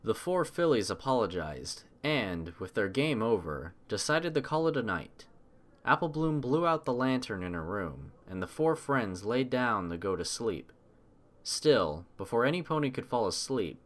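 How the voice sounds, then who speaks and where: A man narrates an audiobook from a script. His pitch sits in the middle, with lively, animated intonation through the storytelling. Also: unhurried.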